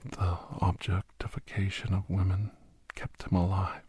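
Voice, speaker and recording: Man playing a hushed, husky, haunted old-soldier doctor. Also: sits low, completely flat delivery, exaggerated voice. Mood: grave, sorrowful. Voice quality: breathy, husky. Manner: slow, halting, hushed, often slipping into whisper. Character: grave, haunted male doctor, war veteran, recounting horrors. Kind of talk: ASMR horror audio drama narration.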